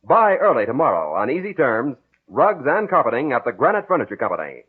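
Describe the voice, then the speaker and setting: A man's voice: medium pitch, wildly swooping pitch, brisk, breathy edge. An American man announcing in formal, scripted 1940s radio style. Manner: formal scripted sales and credit reading. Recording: phone-like old radio recording, music.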